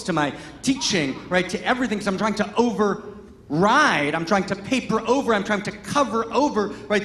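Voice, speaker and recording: A man whose first language is Hebrew and who grew up in Massachusetts gives a Sunday sermon as a guest teacher. He tells it as a loose personal story, full of "you know" and "right?", and builds to emphatic passages. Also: high-pitched. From Pittsfield, Massachusetts, US. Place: large church hall. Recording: echoey church hall with faint ambience.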